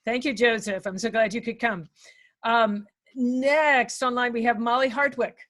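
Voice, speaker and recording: Unhurried woman moderator, higher voice. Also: steady tone, a bit bright. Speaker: panel moderator. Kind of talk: casual hosting. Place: online conference call.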